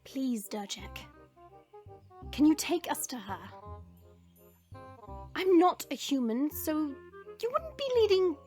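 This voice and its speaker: A woman's voice: sits high, very animated, slow, pauses often, a little mellow, faint music behind, exaggerated voice. A woman playing a young female dragon in human form, polite but teasing, in an audio roleplay. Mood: polite, earnest.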